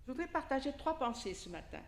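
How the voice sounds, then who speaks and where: A woman's voice: high-pitched, big pitch swings, quick pace. Italian-born woman preaching in a roomy hall. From Italy. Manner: conversational, addresses listeners directly with questions and asides. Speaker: lay preacher. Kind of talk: informal sermon to a congregation. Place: church hall during a service.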